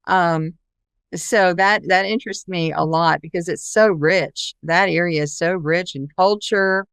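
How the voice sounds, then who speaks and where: Smooth, lowish-voiced woman hosting an interview. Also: unhurried, dry recording. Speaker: podcast host, interviewer. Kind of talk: casual conversational interview.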